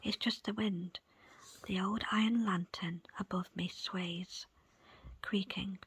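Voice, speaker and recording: A woman reading a book aloud as ASMR in a soft, breathy, unvoiced whisper, with deliberate pauses between short phrases. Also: medium pitch, steady tone, dry recording. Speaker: audiobook reader. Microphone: close, dry microphone.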